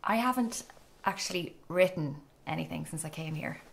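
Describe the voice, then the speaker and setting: A woman's voice: low voice, normal speed, vocal fry. An actress playing a timid, eager woman in her twenties in a radio drama, hesitant and apologetic. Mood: confiding, nervous. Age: 20s. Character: timid, eager young Irish woman, aspiring writer.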